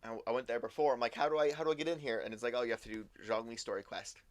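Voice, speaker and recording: Halting male game streamer thinking aloud. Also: medium pitch. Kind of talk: casual live game commentary.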